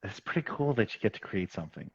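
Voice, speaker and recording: A man's voice: fairly low pitch, very animated, husky. Earnest man chatting, slightly dull audio. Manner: halting, earnest, self-effacing. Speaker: interview guest, talent agent turned show executive. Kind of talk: casual reflective chat.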